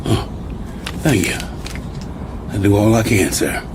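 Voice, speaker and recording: An actor playing a deferential, wary sleeping-car attendant in a radio drama, with folksy speech. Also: male voice, takes it slowly. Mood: wary, warning. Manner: folksy, servile, colloquial speech. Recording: noisy radio drama, train rumble behind.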